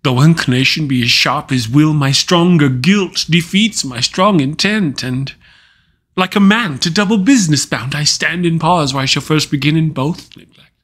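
A man playing a tormented, guilt-ridden usurper king in a Shakespearean stage soliloquy, with dramatic, weighty pauses. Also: fairly high pitch, a bit bright, husky. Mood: brooding guilt.

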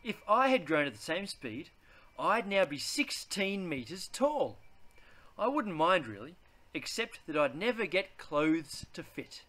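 A man, reading a children's picture book aloud in a deliberate, theatrical way. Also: high-pitched, very animated, bright, forceful tone. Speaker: read-aloud host.

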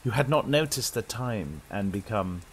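British male horror host, theatrical and suspenseful, pitch swinging widely, faint rain-like ambience behind. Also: expressive, normal speed, a little hiss or hum, low-quality recording. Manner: dramatic, suspenseful delivery. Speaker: horror story host and narrator. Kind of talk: theatrical scripted horror narration inspired by old radio.

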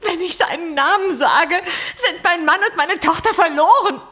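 A woman's voice: very high-pitched, expressive, normal speed, sharp tone, heavily acted. A woman playing a frightened, distraught wife and mother in a German audio drama, anguished and guarded.

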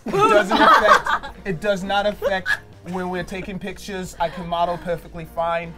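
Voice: medium pitch, lively intonation, moderate pace, loud music behind the voice, natural voice. Someone putting on a pompous model with a speech impediment.

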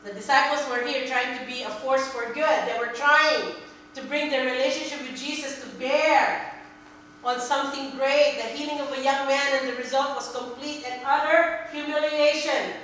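Middle-aged female preacher, high voice, big echoey hall. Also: moderate pace. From Philippines. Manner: animated, gesturing, repeats words when emphasizing. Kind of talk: sermon. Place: church sanctuary, indoors. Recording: very echoey hall, a bit distant, faint hum.

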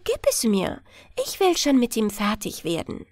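A woman's voice: on the high side, big pitch swings, measured pace, darkish tone, no room sound, studio quality. A woman voicing a coaxing, bargaining, slightly testy female water nixie in a fairy-tale audiobook. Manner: persuasive, wheedling.

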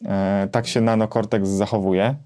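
A man in his thirties explaining a gear comparison conversationally, with hesitant pauses as he thinks. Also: fairly low pitch, flat delivery, dark tone. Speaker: gear reviewer and co-host. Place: small home music studio, indoors.

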